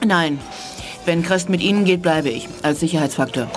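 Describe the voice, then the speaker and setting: A woman's voice: on the low side, normal speed, over music, natural voice. An actress playing a proud, cool-headed alien female commander who speaks assertively, in a German radio play.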